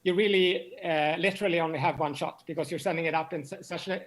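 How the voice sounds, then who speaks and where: A man speaking as an expert interview guest, explaining in a halting way and often repeating words as he searches for phrasing. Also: on the high side. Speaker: interview guest, astronomy professor.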